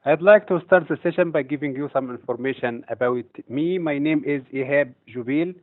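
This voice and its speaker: A man from Egypt, a trading instructor, presents a webinar in a steady, explanatory way with occasional hesitations. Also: fairly high pitch, brisk. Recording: tinny phone-like audio.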